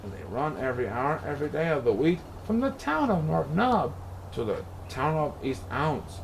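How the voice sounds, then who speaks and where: A man reading a rhyming children's story aloud in an expressive, playful, sing-song way. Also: fairly high pitch, moderate pace, gravelly, slight background noise, low-quality recording. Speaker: picture book reader.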